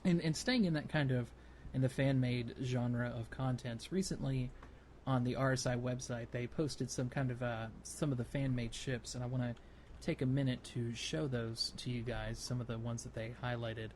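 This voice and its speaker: A man's voice: medium pitch, fairly even intonation, moderate pace, darkish tone. Man hosting a podcast over noticeable noise. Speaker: podcast host. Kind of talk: casual gaming podcast chat.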